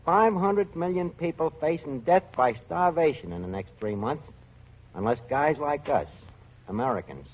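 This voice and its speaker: An actor playing an earnest working-class tavern manager with streetwise, ungrammatical talk in a 1940s radio show. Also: male voice, a little high, lively intonation, moderate pace. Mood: grave, urging. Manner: colloquial, streetwise, uneducated grammar. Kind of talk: 1940s radio comedy-drama acting. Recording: muffled vintage radio recording.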